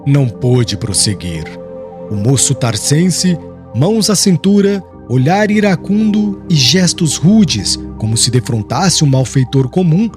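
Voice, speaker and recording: A man's voice: fairly high pitch, big pitch swings, measured pace. A Brazilian man narrating an audiobook in a solemn, theatrical, formal literary style. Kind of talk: dramatic scripted literary narration. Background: background music.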